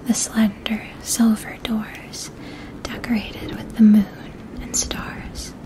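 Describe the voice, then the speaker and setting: Whispering, slow-paced ASMR woman. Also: a little high, flat delivery, low-quality recording. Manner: gentle, unhurried, soothing, careful enunciation. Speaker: ASMR roleplay performer. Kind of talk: whispered ASMR, second-person fantasy story with choices.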